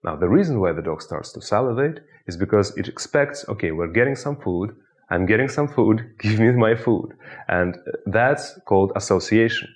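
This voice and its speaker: A man with a Russian accent, a hypnotist guiding the listener through an anchoring exercise with slow, soothing, repetitive suggestions. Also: lowish voice, animated. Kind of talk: guided hypnosis instruction.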